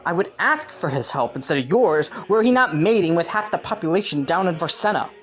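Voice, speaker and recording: Young ambitious prince played by a man for romantic fantasy roleplay: lighter pitch, courtly diction, gently hesitant, scheming but earnest, faint music underneath. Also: lively intonation, brisk, close to an everyday voice. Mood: fondly exasperated. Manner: formal courtly phrasing, softly hesitant at times. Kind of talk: romantic fantasy audio roleplay addressed to listener.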